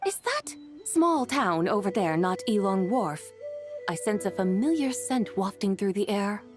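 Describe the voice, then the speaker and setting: A woman's voice: medium pitch, lively intonation, a little slow, over music, lightly acted. A woman playing an eccentric, lofty young spirit medium in a video game, formal and theatrically polite. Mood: lofty, gracious. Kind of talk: video game character acting.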